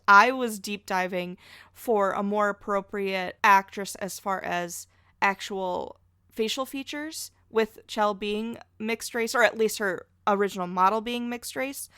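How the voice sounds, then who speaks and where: A woman chats away in a casual podcast discussion. Her voice sits at a middling pitch, and she states her opinions freely while being a little self-deprecating. The sound is dry, with hardly any room around it. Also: a bit bright, clear, resonant tone, no echo. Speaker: podcast guest.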